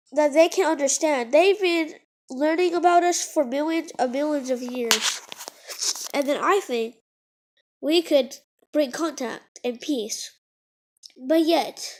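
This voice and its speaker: A young child vlogger who is sick, rambling through a casual monologue with lots of fillers and restarts. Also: female voice, high-pitched, a little mellow. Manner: halting, many fillers and restarts.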